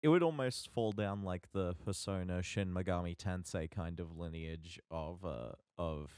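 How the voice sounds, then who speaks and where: A man co-hosting a podcast in casual chat, halting as he searches for his words. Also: deep voice, darkish tone, clear, resonant tone, dry recording. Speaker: podcast co-host.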